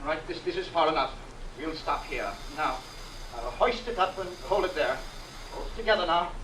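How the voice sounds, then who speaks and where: An actor playing a commanding, desperate wealthy patriarch in old radio drama style, imperiously giving orders. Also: male voice, on the high side, expressive, moderate pace, close to an everyday voice. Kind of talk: 1940s radio drama acting. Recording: echoey, distant, noisy old radio broadcast.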